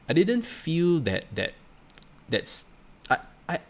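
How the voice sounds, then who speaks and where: A middle-aged man with a Singaporean accent talking reflectively and casually, halting and repeating words, often checking in with "right?". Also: on the high side, huge pitch swings. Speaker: host of a personal finance channel.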